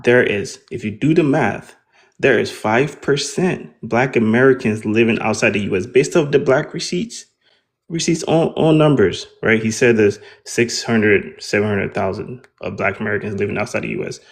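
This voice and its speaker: A man's voice: on the low side, normal speed. A man on a debate panel, insistently laying out percentages to make his point. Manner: rattles off numbers, pointed and insistent. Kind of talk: argumentative debate.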